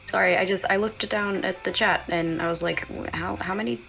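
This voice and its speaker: A woman's voice: medium pitch, steady tone, brisk, gravelly, a bit bright, faint music behind, rough recording. A woman from the United States chatting casually as a livestream guest, effusive and rambling. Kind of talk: casual chat.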